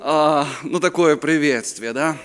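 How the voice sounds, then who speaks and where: Ukrainian guy in his 50s preaching, jokey, hunts for words, smooth clear voice, big echoey hall. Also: fairly high pitch, unhurried. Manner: chatty, joking, searching for words. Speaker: guest preacher. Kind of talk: sermon at a youth conference. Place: conference hall. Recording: reverberant hall.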